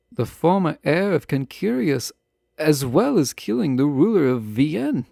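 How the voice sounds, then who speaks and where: A man playing a refined, guarded yet fond fantasy ruler in a romantic audio roleplay, speaking in formal, measured phrases with teasing asides. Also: a little high, creaky, dark tone, dry recording, clean studio sound, heavily acted.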